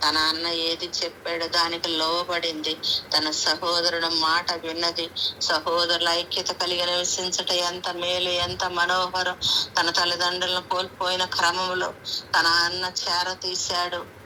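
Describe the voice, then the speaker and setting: A woman's voice: fairly low pitch, monotone, a little slow, sharp tone. A woman preaching a sermon in a fervent, pleading, repetitive cadence, acting out pleas from scripture. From South India. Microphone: conference call. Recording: noisy conference call audio.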